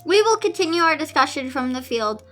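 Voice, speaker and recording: A woman's voice: high-pitched, big pitch swings, a little fast, fairly bright tone, soft background music. A woman voicing a cold, stern, unworried father in a storybook reading. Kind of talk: amateur storybook-style reading of fan fiction.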